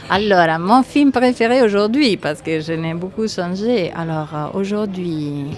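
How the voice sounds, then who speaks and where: Italian-accented woman, lowish voice, thoughtful and halting, interview guest. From Italy. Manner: hesitant, thoughtful, many fillers. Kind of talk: casual interview answers.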